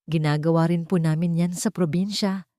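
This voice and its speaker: A gentle, humble working father from an audio love story, played by a woman narrator. He talks softly and patiently, in a dry, close recording. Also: lowish voice, fairly even intonation, normal speed, dry recording, lightly acted. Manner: soft, patient, respectful.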